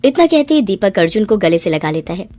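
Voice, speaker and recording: A woman's voice: mid-range voice, big pitch swings, brisk, warm tone, faint music behind. A woman reading a scripted moral story in a dramatic narrator's style.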